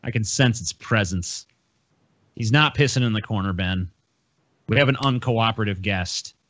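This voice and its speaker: A man's voice: lowish voice, normal speed, fairly bright tone, no echo. An American man hosting a podcast, chatting casually in a blunt, profane, ranting way. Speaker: podcast host. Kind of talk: casual chat, ranting banter. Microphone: close podcast microphone on a video call.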